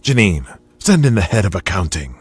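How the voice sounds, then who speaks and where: A man voicing an arrogant, sneering company boss in an audio drama, mocking and deliberate with menace. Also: sits low, sing-song. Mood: cold, smug.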